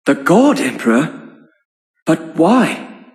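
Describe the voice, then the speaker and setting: A man's voice: fairly high pitch, big pitch swings, takes it slowly, slightly rough, exaggerated voice. A man playing an earnest, modest young nobleman in a video game, speaking formally and courteously. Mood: surprised. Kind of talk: dramatic video game voice acting.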